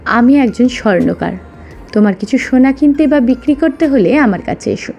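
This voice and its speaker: Woman voicing a scheming goldsmith man, high-pitched. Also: animated, moderate pace, gravelly, mellow. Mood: friendly. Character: scheming male goldsmith, indignant and accusing.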